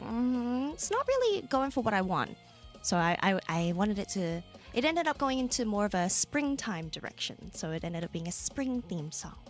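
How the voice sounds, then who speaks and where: A young Australian woman streamer chatting casually with viewers, laughing often and jumping into high squeals. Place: home room. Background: soft background music.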